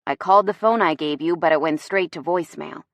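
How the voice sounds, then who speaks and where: A woman voicing a bossy but warm and protective young boyfriend, soft-spoken and wry with an intense edge. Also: fairly high pitch, fairly quick, no echo. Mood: concerned. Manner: soft-spoken, wry, growling when strained.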